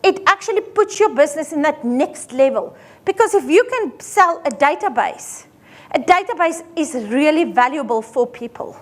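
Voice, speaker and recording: High-voiced Afrikaans-accented woman presenting. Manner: lively, explains with everyday examples. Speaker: accountant presenting a seminar. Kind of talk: seminar presentation. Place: seminar room.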